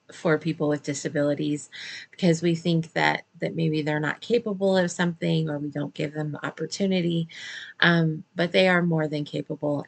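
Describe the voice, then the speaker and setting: A middle-aged American woman talks to her viewers in an informal advocacy update. Her voice sits a little low, with noticeable vocal fry. She comes across as earnest and conversational, pausing as she gathers her words. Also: a bit bright. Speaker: special education advocate and vlogger.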